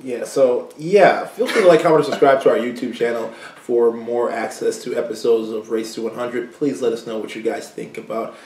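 A man's voice: medium pitch, moderate pace, few pauses. Young man chatting in a roomy room. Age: young adult. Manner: animated, laughing, loose and slangy. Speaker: co-host. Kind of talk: casual chat. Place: small room indoors, seated side by side. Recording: roomy echo, mic at a distance.

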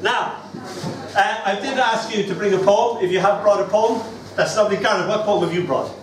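An older man with an Irish accent performs live as a poet and host. His voice sits high and he speaks in a theatrical, rambling storyteller's way. It is picked up from a distance in a roomy space. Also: brisk. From Ireland. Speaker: poet hosting an open mic. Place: small indoor venue stage. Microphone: far camera. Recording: roomy, noisy, distant camera recording.

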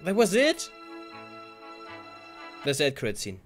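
A man's voice: on the high side, wildly swooping pitch, measured pace. A man in his twenties from Germany giving a casual, exclaiming reaction commentary, often questioning himself. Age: 20s. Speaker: host of a reaction channel. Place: small indoor room with shelves, at a desk. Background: music from the video he watches.

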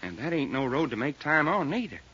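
An actor playing a folksy, eager Texan adventurer with a colloquial drawl in an old radio drama. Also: male voice, on the high side, very animated, brisk, bright, forceful tone, breathy edge, full character voice. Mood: excited. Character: folksy, eager, girl-loving Texan adventurer with hearty appetite. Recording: muffled, hissy old radio recording.

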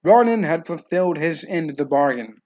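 A man's voice: on the high side, animated, fairly quick, a little mellow. A man giving scripted narration of a novel, in a slightly dull, lo-fi recording.

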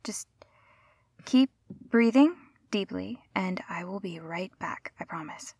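A woman's voice: mid-range voice, animated, takes it slowly, gravelly, a little mellow, husky, no echo, clean studio sound, exaggerated voice. A woman playing a caring, flustered young rescuer in an intimate audio roleplay, speaking in a hesitant, stammering, soothing way. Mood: gentle, practical.

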